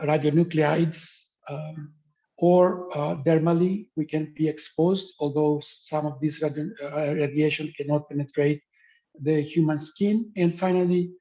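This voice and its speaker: A man's voice: fairly high pitch, dark tone. A man from Greece giving a webinar lecture over a video call, speaking with many hesitant fillers. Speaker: guest speaker, professor. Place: remote spot in the countryside. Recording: dull lo-fi call audio.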